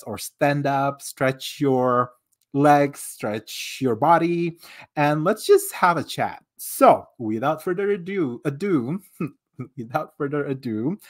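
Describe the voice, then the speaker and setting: A man hosting a casual podcast, rambling warmly with many fillers, restarts and laughs. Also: mid-range voice. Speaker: podcast host.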